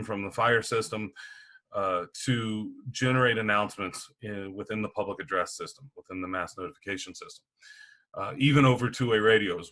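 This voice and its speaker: A man's voice: lowish voice, fairly bright tone. A man presenting sales training in an informal, explanatory style.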